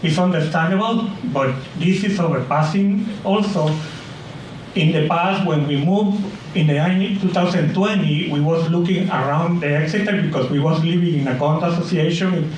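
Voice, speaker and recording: A man giving a public comment at a town meeting, earnest and halting, with uneven grammar. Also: on the high side, darkish tone, a little hiss or hum. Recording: echoey hall, picked up from a distance.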